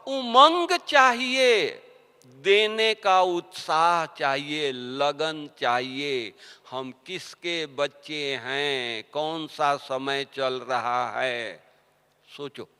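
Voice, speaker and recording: A man giving a devotional sermon, telling stories and asking rhetorical questions, his voice swinging between soft and loud. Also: on the high side, clean tone. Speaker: spiritual teacher. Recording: long hall reverb.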